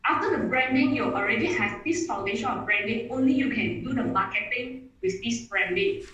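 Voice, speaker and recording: A woman with Mandarin as her gives an online presentation. Her voice sits a touch high and sounds off-mic, as if from across a room. Echo rings around every phrase, and she restarts her sentences eagerly. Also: lively intonation, normal speed, a bit bright, highs cut off, a little hiss or hum. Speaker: webinar speaker. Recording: echoey room audio from a distance.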